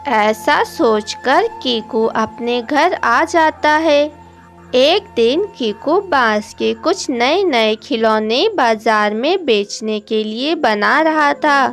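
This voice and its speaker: A woman's voice: a little high, animated, takes it slowly. A woman narrating a scripted children's story as its storyteller. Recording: music behind the voice.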